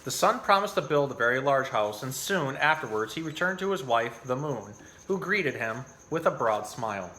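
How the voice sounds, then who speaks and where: A man with a medium-pitched, bright and forward voice hosts an educational animal storytime. He speaks as a zookeeper in an informal, explanatory way, suited to both casual teaching and reading from a storybook. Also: expressive, normal speed, slight background noise.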